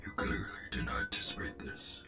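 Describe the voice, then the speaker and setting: A man voicing a calm, formal robot officer in a comic dub, measured and deliberate, with a gravelly, whispery, synthetic-sounding voice. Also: lightly acted. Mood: calm, persuasive. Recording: music behind the voice.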